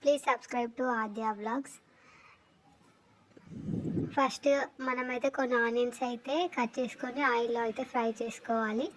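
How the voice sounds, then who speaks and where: A woman's voice: on the high side, steady tone, moderate pace, pauses often, rough recording. A woman narrating a recipe voice-over step by step in an explanatory way. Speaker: cooking vlogger.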